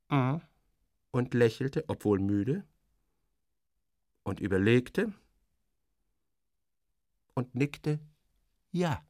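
A man's voice: mid-range voice, a little mellow, no room sound, clean studio sound. A man reading first-person literary narration in a dramatic, wry interior-monologue manner.

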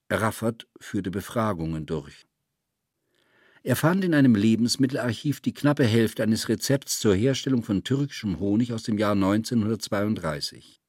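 A man narrating literary prose from a script in a measured, precise manner. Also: fairly low pitch, darkish tone, no echo, studio quality.

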